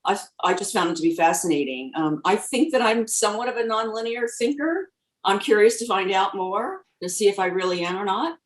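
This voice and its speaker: An enthusiastic woman introducing herself on a video call. She sounds set back from her mic in a roomy, echoey space. Her voice sits at an ordinary pitch. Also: animated, fairly quick, recorded from a distance. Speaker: guest participant.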